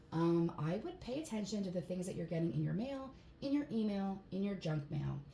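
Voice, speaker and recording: A woman with a hoarse voice giving a casual tarot card reading in a relaxed, chatty way, with plenty of fillers. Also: fairly low pitch, moderate pace. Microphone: phone. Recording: echoey room, faint background hiss.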